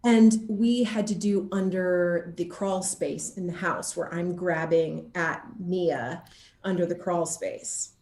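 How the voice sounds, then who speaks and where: A woman actor chatting casually in an interview and telling anecdotes in an animated, laughter-prone way. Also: lowish voice, takes it slowly, reverberant.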